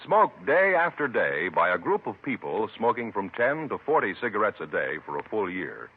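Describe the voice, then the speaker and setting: A man with an American accent reading a 1950s radio advertisement as a deliberate, persuasive announcer. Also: fairly low pitch, sing-song, husky. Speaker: commercial announcer. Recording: tinny 1950s radio recording.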